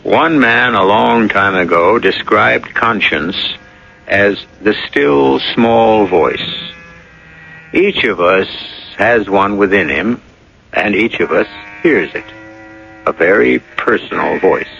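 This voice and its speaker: A man hosting and narrating a radio drama in a gravelly voice, measured and solemn. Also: lowish voice, a bit bright, soft background music. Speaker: host and storyteller. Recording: dull old radio broadcast.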